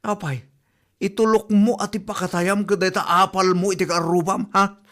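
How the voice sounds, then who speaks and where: A man's voice: sits high, level tone, normal speed, fairly bright tone. A man playing an exasperated village husband in an Ilocano comedy drama, bluntly scolding his wife. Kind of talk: comedy radio drama acting.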